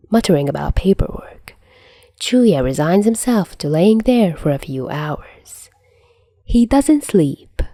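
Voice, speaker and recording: Low-voiced woman narrating, dry sound. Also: warm tone, no room sound. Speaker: podfic narrator. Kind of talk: fan fiction narration.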